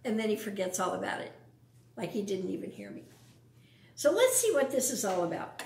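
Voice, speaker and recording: A woman's voice: medium pitch, big pitch swings, brisk, darkish tone, roomy sound. A woman reading a picture book aloud to young children, adding casual explanations and questions. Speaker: storytime reader.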